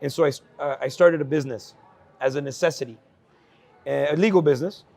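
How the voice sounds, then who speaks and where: A man in his forties from El Salvador, a guest speaking earnestly at an investment conference about his life, with frequent fillers and quick laughs. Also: on the high side, creaky, mellow. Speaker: guest entrepreneur. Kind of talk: candid conversational storytelling.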